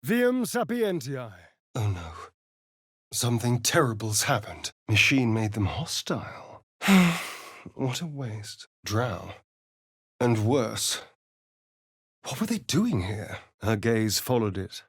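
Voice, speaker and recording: An actor playing a sardonic, vain vampire spawn rogue in a video game, with a theatrical, drawling British delivery. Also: male voice, medium pitch, very animated, slow pace, husky, no echo, studio quality, exaggerated voice. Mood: intense incantation, then exasperated, alarmed. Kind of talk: video game character voice lines.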